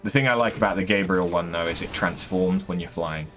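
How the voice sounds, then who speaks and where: A man with a fairly deep voice giving casual, hesitant let's-play commentary, throat a bit strained from voicing characters. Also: a bit bright. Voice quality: relatively deep voice. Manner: hesitant, many ums, unhurried. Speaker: gaming streamer.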